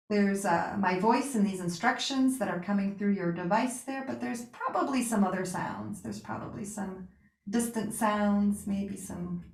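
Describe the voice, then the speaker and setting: A woman guiding a relaxation meditation with gentle spoken instruction, pausing and reassuring in a soothing way. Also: medium pitch. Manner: unhurried pauses, soothing reassurance. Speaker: yoga nidra meditation guide. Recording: roomy, echoing sound.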